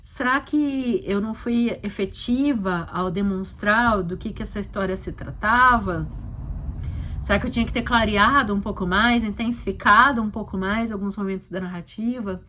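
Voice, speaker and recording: A woman's voice: medium pitch, moderate pace, bright, forceful tone. A Brazilian woman talking casually and reflectively as an author and podcast host, explaining things conversationally with frequent little check-in tags. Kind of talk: casual reflective commentary on her own short story.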